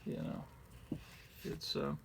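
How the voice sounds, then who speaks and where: A man's voice: very slow, noisy background. A middle-aged man in a casual, rambling show-and-tell, profane and digressive with a self-mocking streak. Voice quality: gravelly.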